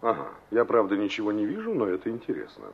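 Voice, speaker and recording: An actor playing a cocky young carpenter in a Soviet radio play, brusque, teasing and colloquial. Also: male voice, on the low side, wildly swooping pitch, quick pace, a little hiss or hum. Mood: grumbling, ironic. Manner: mocking, colloquial, gruffly flippant. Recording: dull 1960s radio play recording.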